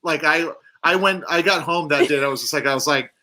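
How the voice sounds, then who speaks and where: A man, an actor on a cast panel, chatting casually with swearing and a halting, self-deprecating manner. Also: fairly high pitch, sharp tone.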